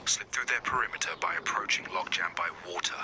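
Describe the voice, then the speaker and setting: A man voicing a brisk resistance commander in a video game, giving terse, encouraging tactical orders. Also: low voice, noisy background, natural voice. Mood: focused. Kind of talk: video game mission briefing.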